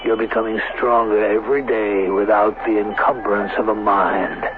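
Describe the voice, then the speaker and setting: An actor playing a proud, obsessive middle-aged scientist in a radio drama, speaking in a deliberate, lecturing and coolly clinical manner. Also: male voice, on the low side, flat delivery, natural voice. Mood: proud, instructive. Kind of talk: 1970s radio drama acting. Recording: muffled, hissy old radio broadcast.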